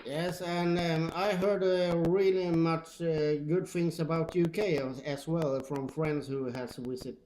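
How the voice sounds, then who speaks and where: Slow, halting Swedish-accented man on CB. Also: on the high side, fairly even intonation, darkish tone, a little hiss or hum, low-quality recording. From Sweden. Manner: halting, hesitant. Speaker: CB radio operator. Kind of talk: casual two-way radio chat. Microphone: old radio microphone.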